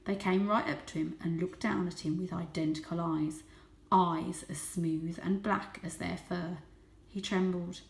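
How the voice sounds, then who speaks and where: A woman reading a children's book as dramatic narration, lingering on key words and hushing for suspense. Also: lowish voice, steady tone, measured pace, creaky. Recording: roomy, echoey sound.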